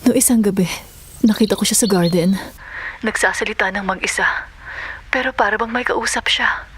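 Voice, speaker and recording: A woman's voice: mid-range voice, moderate pace, a bit bright, husky. A woman with a Filipino accent acting a worried, tearful middle-aged mother in an audio drama. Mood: anxious.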